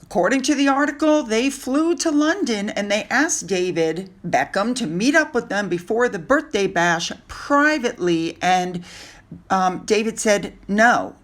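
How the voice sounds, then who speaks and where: Female gossip vlogger, mid-range voice, casual and opinionated, chatting through the news. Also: mid-range voice. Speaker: host of a gossip vlog.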